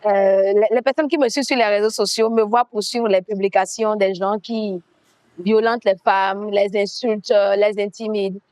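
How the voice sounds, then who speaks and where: A woman's voice: medium pitch, steady tone, normal speed, a little mellow, no room sound. Earnest woman, dry close sound. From Benin. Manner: earnest, emphatic, repeats key phrases. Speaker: guest, activist and coach. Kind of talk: conversational storytelling.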